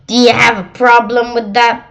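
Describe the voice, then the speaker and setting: A woman's voice: on the high side, flat delivery, normal speed, gravelly, fairly bright tone, voice pushed up. A woman voicing a sassy, snappish girl monster in a storybook, with a curt, indignant manner.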